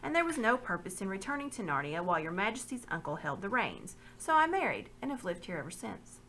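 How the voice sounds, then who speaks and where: A woman's voice: medium pitch, big pitch swings, fast talker, pushed and bright, a little hiss or hum. A woman reading the part of a loyal middle-aged nobleman, speaking earnestly and courteously.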